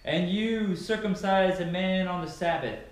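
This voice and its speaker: A man voicing a solemn male preacher addressing a crowd, slow and emphatic, recorded in an echoey bare room from a far camera. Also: high voice, pitched above the performer's own voice.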